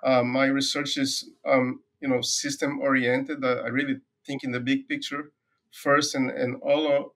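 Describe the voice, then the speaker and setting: Brazilian-accented man, halting and thoughtful. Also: mid-range voice, little pitch movement, fairly bright tone. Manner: thoughtful, many "uh" fillers and repeated words. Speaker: forage agronomy professor, guest. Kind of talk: conversational interview answers.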